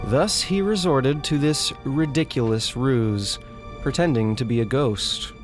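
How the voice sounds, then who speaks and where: A man's voice: medium pitch, measured pace, natural voice. A man voicing a haughty, condescending Imperial, narrating a theatrical recap in florid, scornful phrases. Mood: contemptuous. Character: pompous, condescending Imperial man sneering at provincial peasants. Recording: music behind the voice.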